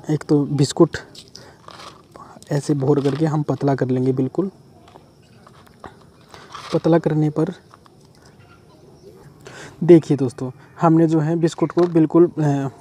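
A man's voice: fairly high pitch, dark tone, a little hiss or hum. A man hosting a fishing channel, chatting his way through a bait-making demonstration outdoors by a river, and he keeps addressing his viewers as friends. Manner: chatty and repetitive, often says "friends". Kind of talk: casual how-to demonstration.

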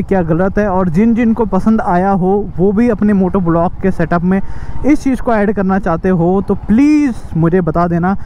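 A young man with a high voice and a dark, mellow tone talks on the move. He chats casually to his viewers from a helmet mic, and faint traffic noise runs behind him. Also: lo-fi sound. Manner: chatty, keeps addressing viewers as brother. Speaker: motovlogger. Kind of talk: casual vlog talk while riding a motorcycle. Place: on a motorcycle on a busy city road. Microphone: helmet mic on a moving motorbike.

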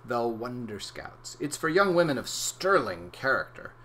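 A man's voice: mid-range voice, very animated, a little slow, pushed and bright. Storybook schoolgirl heroine, played by a man: earnest, emphatic, grand, with faint noise behind. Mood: proudly earnest.

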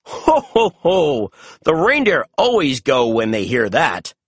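Jolly old father christmas type done by a storybook reader, hearty, husky, pitch swinging all over. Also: wildly swooping pitch, takes it slowly, no echo, studio quality, full character voice. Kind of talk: children's storybook character reading.

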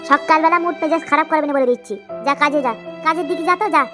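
A woman voicing a sharp-tongued village wife who taunts and scolds in a comedy cartoon, music behind. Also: high voice, rapid-fire, natural voice. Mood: angry nagging. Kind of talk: comic cartoon dialogue. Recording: background music throughout.

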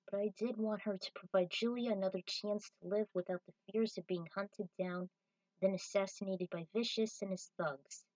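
A woman's voice: medium pitch, a little mellow, poor audio. A woman reading her own fan fiction aloud as a scripted story narration.

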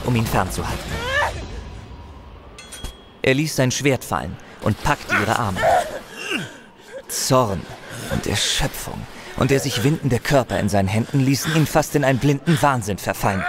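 A man's voice: medium pitch, very noisy, close to an everyday voice. A man narrating a fantasy audio drama as a grave, intense storyteller, with music and effects behind him.

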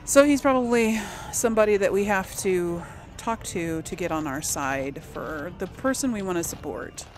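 A woman's voice: mid-range voice, expressive, normal speed, faint music behind. A woman streaming a role-playing game, thinking aloud in casual, chatty commentary. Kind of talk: casual let's play commentary.

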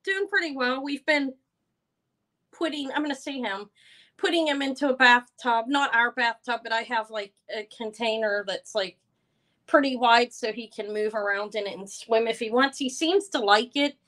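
Loud, bright-voiced woman hosting a live sale. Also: on the high side, moderate pace. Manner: chatty, rambling, loud voice. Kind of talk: casual live-stream chat greeting viewers.

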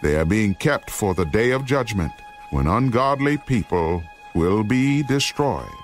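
Grave apostle warning his flock, lowish voice, oratorical, deliberate, dramatized scripture, faint music underneath. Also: lively intonation. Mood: solemn, exhorting.

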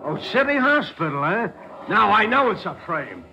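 An actor playing a tough, defiant, shady joint owner in a 1940s radio crime drama, slangy and blustering. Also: male voice, high-pitched, very animated, moderate pace, bright, forceful tone, exaggerated voice. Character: defiant, shady male bowling-alley owner, tough crook. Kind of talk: 1940s radio gangster acting. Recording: noisy, muffled 1940s radio recording.

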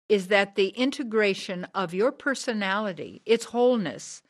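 Radio drama: actress as woman of sixty, driven visionary, declamatory and earnest, mid-pitched voice. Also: lightly acted. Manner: declamatory, preaching, deliberate. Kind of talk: radio drama monologue, solemn oratory.

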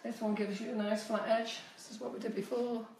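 Woman, 50s, cake decorating tutor, chatty and direct, slight cold squeak; roomy kitchen, distant phone mic. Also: level tone, a little fast, darkish tone, slight background noise. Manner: chatty, instructive, addresses viewers directly. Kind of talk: live-streamed baking demonstration. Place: home kitchen, indoors. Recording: echoey kitchen, voice often off-mic.

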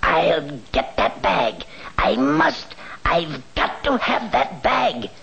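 Gravelly, scheming old cartoon professor. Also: male voice, on the high side, huge pitch swings, slow pace, noisy background, exaggerated voice. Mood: covetous, determined. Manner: stammering, muttering, gloating cackle. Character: scheming, covetous old male villain professor. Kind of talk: vintage TV cartoon acting.

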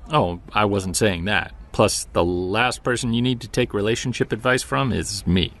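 A man's voice: on the low side, normal speed, fairly bright tone. A man playing a patient, reassuring investigator, talking in a conversational, coaxing way in a podcast drama. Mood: reassuring, wry. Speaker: actor in audio drama. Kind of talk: naturalistic podcast drama dialogue, interviewing.

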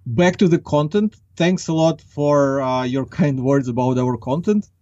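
A man's voice: medium pitch, unhurried, warm tone, no room sound. A man in his thirties from Ukraine with a Ukrainian accent, talking conversationally as an interview guest. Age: 30s. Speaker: interview guest, marketing executive. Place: small acoustically padded room. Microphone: large desk microphone, close. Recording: slightly dull, band-limited online call.

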